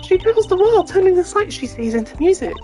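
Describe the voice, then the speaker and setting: Bubbly teen girl game sidekick voiced by a woman: very high, exclamatory, cheeky, music playing behind. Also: sing-song, fairly quick. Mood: bubbly, eager. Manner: perky, eager, exclamatory. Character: bubbly, cheeky teenage girl stage magician. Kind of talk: amateur video game character voice-over.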